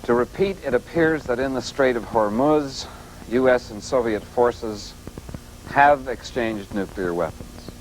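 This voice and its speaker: An actor playing a solemn, weary 1980s TV news anchor with measured, halting delivery. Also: male voice, mid-range voice, close to an everyday voice. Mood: tense, urgent. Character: grave, weary male network news anchor covering nuclear crisis. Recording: noisy old TV broadcast audio.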